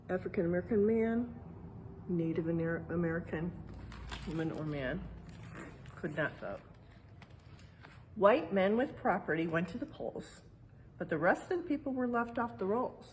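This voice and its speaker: A woman with a medium-pitched voice reads aloud in the style of a museum educator at storytime. She breaks off into plain, casual explanations and often checks in with short tag questions. The recording is made indoors, with faint but steady room noise behind her. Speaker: museum educator reading a picture book aloud.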